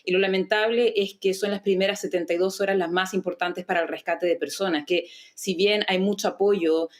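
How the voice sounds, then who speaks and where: A woman's voice: mid-range voice, steady tone, brisk. A woman from Chile, a guest analyst on a news panel, speaking fluently and emphatically. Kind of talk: debate commentary.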